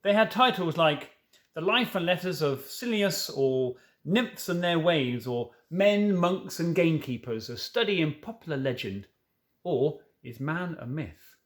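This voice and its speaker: Man reading a storybook, slightly high voice. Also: lively intonation, a little slow. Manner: stumbles and corrects himself while reading. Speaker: storybook reader. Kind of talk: reading a children's novel aloud with informal asides.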